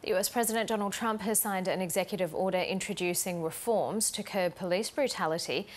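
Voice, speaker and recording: A woman's voice: mid-range voice, fairly quick, slight background noise. An Australian woman reading scripted television news as the anchor.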